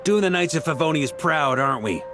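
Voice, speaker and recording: An easygoing knight commander. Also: male voice, a little high, a little fast. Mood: reflective. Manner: relaxed, reflective, friendly. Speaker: knight back from a long expedition. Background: soft game music.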